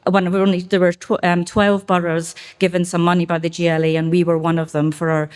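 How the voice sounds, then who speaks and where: A middle-aged woman with a Scottish accent gives an unscripted speech at a business event, halting often with fillers and restarts. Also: lowish voice, level tone, a little mellow. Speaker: council member speaking at a business expo.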